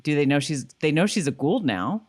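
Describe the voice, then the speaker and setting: A woman's voice: low voice, big pitch swings, brisk, darkish tone. A woman co-hosting a podcast, chatting casually about a TV episode in a giggly, conversational way. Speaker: podcast co-host. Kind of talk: casual chat recapping a TV episode.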